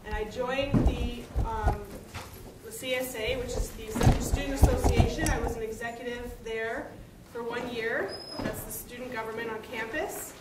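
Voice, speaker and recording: A woman farmer speaking as a panelist at a symposium, candid and lively, heard in an echoey hall some way from the microphone. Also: on the high side, moderate pace. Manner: candid, lively, laughs often. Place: university lecture hall. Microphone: room microphone at a podium. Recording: echoey hall, far from mic, noisy.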